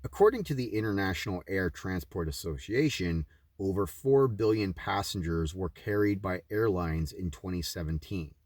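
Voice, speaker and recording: A man's voice: low voice, a little mellow, clean studio sound. A man reading a scripted religious text aloud as narration. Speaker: reader of a religious book. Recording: roomy echo, distant from the mic.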